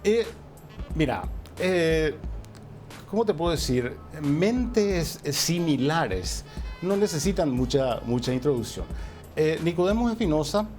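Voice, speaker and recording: An older man from Paraguay whose first language was Portuguese, a musician guest telling stories in an unhurried, reflective way with many pauses. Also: a little high, animated, a little mellow. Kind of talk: relaxed interview conversation. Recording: faint music underneath.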